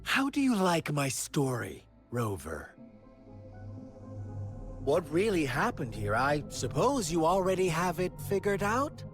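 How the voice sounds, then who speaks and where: A man voicing a taunting, playfully menacing video game villain with theatrical, teasing, drawn-out delivery over music. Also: a little high. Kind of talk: dramatic video game voice acting.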